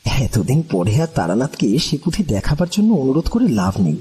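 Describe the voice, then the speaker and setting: A male narrator with a very husky, mellow voice delivers a scripted first-person story. His pitch moves in an animated, dramatic way across the sentences. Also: normal speed.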